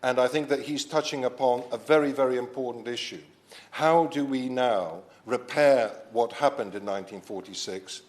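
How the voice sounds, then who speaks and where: A man born in Poland delivers a formal parliamentary speech in a debating chamber. His voice sits at a middling pitch, and his delivery is measured and deliberate. He adds the odd self-deprecating aside. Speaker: member of parliament, debate sponsor.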